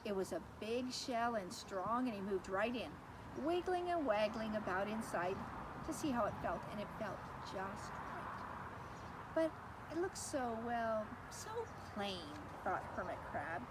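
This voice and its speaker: A woman's voice: medium pitch, expressive, a little slow. A woman teacher reading a picture book to children expressively, adding explanations and questions for them. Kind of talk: storytime read-aloud with asides to children. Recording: heavy background noise.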